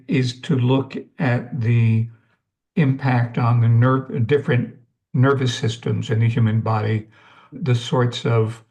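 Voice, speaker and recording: A man from Switzerland, a webinar participant, putting his points carefully with halting phrasing. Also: mid-range voice, vocal fry, darkish tone. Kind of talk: asking questions in a webinar. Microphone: video call.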